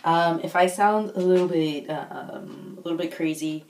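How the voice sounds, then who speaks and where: Low-voiced woman, echoey and distant. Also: moderate pace, darkish tone, slight background noise. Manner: rambling, hesitant, searching for words. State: recovering lost voice after being sick. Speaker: video blogger, football fan. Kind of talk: casual unscripted vlog chat. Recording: echoey room, distant microphone.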